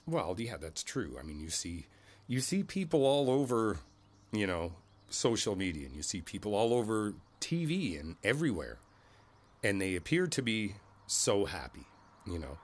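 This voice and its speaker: A man playing an easygoing, friendly older neighbor in a conversational ASMR roleplay, speaking thoughtfully with hesitant pauses. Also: fairly low pitch, sing-song, husky. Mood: thoughtful, gentle. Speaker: voice actor in an audio roleplay. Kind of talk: ASMR roleplay, one side of a casual conversation. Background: outdoor ambience with birds.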